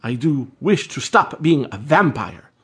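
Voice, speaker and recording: A man's voice: mid-range voice, very animated, unhurried, breathy edge, heavily acted. A man playing a weary, formal vampire who longs to be human, speaking precisely in a radio play. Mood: earnest, pleading. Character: weary, courtly male vampire longing to be human.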